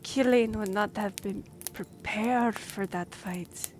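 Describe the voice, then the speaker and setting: Woman acting a slow-spoken, formal half-elf envoy. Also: medium pitch, darkish tone, a little hiss or hum, lightly acted. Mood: grave. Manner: formal, hesitant, measured. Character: young half-elf noblewoman, earnest royal envoy, slightly awkward. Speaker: voice actor in a roleplay audio drama. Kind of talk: fantasy roleplay audio acting, addressing the listener.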